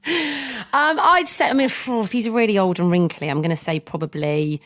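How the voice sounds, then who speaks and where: A woman's voice: medium pitch, normal speed, fairly bright tone. A British woman co-hosting a podcast in casual, cheeky, gossipy banter. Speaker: podcast co-host.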